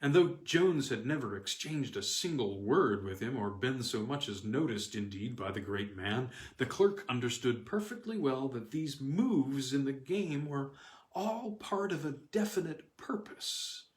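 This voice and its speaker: A man reading a weird tale aloud as scripted narration, with measured, dramatic phrasing and deliberate pauses. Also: medium pitch, expressive. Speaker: audiobook reader. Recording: roomy, echoing sound.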